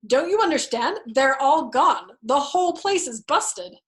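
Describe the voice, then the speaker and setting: Woman playing a crude, gleeful army captain, high and emphatic. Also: lively intonation, a little slow, fairly bright tone. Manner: mocking, cheerful, emphatic.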